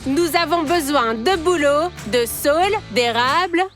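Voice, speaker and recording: Cartoon village girl: earnest, resourceful, high voice, unhurried delivery over music. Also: lively intonation, a bit bright. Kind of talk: cartoon dub acting. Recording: music under the voice.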